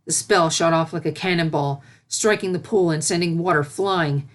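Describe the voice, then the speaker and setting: Female storyteller, lower pitch, expressive scripted fiction narration. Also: normal speed.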